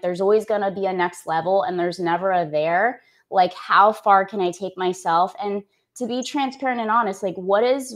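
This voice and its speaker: A woman in her thirties from Canada, an executive coach speaking earnestly as a podcast guest, with lots of "like" fillers. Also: medium pitch. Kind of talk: podcast interview.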